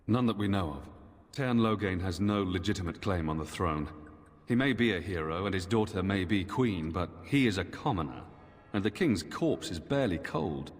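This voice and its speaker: Deep, grave knight commander in echo. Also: male voice, lively intonation. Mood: grim, bitter. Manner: formal, measured, dour. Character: grave, weary male Templar knight commander. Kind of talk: fantasy video game dialogue. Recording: long echoing hall reverb.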